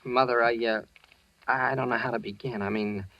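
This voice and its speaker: A man's voice: medium pitch, fairly even intonation, normal speed, no room sound, natural voice. An actor playing an awkward, eager teenage boy in an old-time radio drama, hesitant and stammering. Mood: nervous, apologetic. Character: awkward, eager teenage boy, nervously polite returned son. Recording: dull, lo-fi vintage radio recording.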